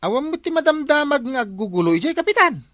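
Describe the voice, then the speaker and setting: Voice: high-pitched, very animated, fast talker, fairly bright tone, no room sound, studio quality. A performer playing an inquisitive, scheming village watch volunteer in a comedy radio drama, questioning and coaxing with a northern Philippine Ilocano accent.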